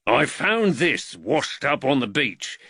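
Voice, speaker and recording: A man voicing a hearty, calm working-class constable in an audiobook, colloquial and blunt. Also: medium pitch, gravelly, slightly rough, dry recording, clean studio sound, voice pushed up, full character voice. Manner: colloquial, unhurried, plain-spoken. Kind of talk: audiobook character dialogue.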